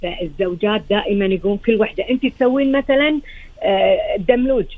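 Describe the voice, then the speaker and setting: A woman's voice: mid-range voice, fast, no room sound, phone-quality sound. A woman with a Gulf Arabic accent and a slightly tired, strained voice, chatting as a guest chef and explaining things with rhetorical questions. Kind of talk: chatty interview reminiscence.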